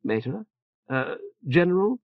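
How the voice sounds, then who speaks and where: A man's voice: high voice, very animated, gravelly, no room sound, pitched above the performer's own voice, exaggerated voice. A man voicing a fretful older male churchman who stammers, hedges and tags lines with "eh?", in a dull, muffled recording. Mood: anxious, fumbling. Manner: halting, full of "uh" and "eh?" tags. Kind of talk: audiobook character voice.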